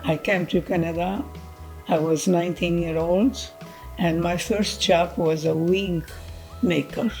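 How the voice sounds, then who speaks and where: A woman in her seventies from the Azores, recalling her past in slow, halting phrases. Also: lowish voice, steady tone, darkish tone, soft background music. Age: 70s. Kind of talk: interview recollection.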